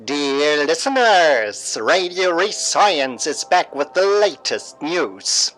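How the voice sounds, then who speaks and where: A radio show host and narrator reading a scripted story in a theatrical, deliberate way, like a dramatic newscast. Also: medium pitch, very animated, fairly bright tone, soft background music, poor audio.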